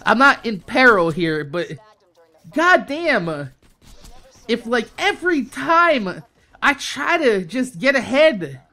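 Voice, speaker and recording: A young American man hosts a casual venting video in a bright, forceful voice. His pitch swings widely as he complains in an exasperated way, leaning on profanity and repeating words for emphasis. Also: sing-song, slow pace. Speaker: YouTube host. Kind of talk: casual storytime rant.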